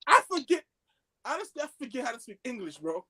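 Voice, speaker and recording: A man telling a story among friends on a podcast, excitable and slangy, acting out the dialogue as he goes. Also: very high-pitched, quick pace. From Haitian background. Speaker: podcast co-host.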